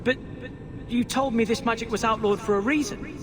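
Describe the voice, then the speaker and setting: An actor playing a bewildered young half-elf in a video game, hesitant and stammering. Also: male voice, extremely high pitch, steady tone, a little fast. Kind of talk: video game cutscene acting. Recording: noisy game capture, outdoor ambience.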